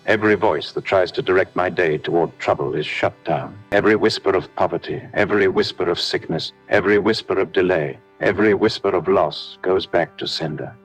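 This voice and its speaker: Male, very low register with some rasp, delivering emphatic scripted prayer declarations in a solemn manner. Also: poor audio. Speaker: prayer leader. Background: faint background music.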